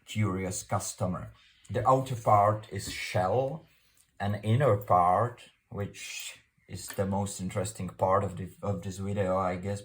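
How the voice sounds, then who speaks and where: A man with a Czech accent, a native Czech speaker, presenting an informal unscripted explainer in a halting, hesitant way with formal phrasing. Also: deep voice. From Czech Republic.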